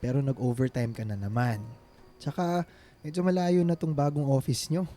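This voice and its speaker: An actor playing a devoted, reassuring suitor in a Filipino radio drama, speaking earnestly and mixing in English. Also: male voice, medium pitch, moderate pace, dark tone, natural voice. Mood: caring, insistent.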